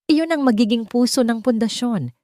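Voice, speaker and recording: A woman's voice: fairly high pitch, moderate pace, warm tone, no echo, clean studio sound, pitched above the performer's own voice, lightly acted. A Filipino woman narrator voicing an earnest, persuasive businessman husband and father in an audiobook story. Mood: earnest, inviting.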